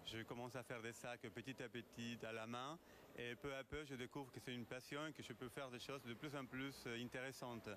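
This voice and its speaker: A man from Argentina with a mixed Italian and Spanish accent, answering an interview about his work in halting phrases. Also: mid-range voice, steady tone. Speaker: leather goods designer being interviewed. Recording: noisy on-location event sound.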